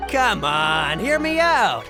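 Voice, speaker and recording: A boastful male merchant from a video game, pitched high for a man. His pushy patter swings wildly up and down over clearly heard music. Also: big pitch swings, slow pace, full character voice. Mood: pleading, boastful. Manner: exaggerating, pleading salesman patter. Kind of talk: video game character acting.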